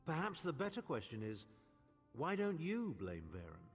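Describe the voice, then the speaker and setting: A man's voice: on the high side, wildly swooping pitch, moderate pace, breathy edge, exaggerated voice. A man playing a haughty older imperial chancellor in a video game, speaking in a pompous, sardonic, erudite way. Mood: grave, scheming. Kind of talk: theatrical video game dialogue. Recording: long hall reverb, faint music behind.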